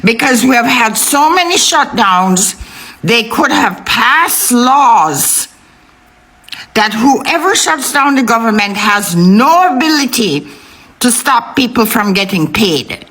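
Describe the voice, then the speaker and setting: A woman giving impassioned political commentary in an emphatic, indignant manner, repeating words deliberately for weight. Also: fairly high pitch, lively intonation, a bit bright, rough recording.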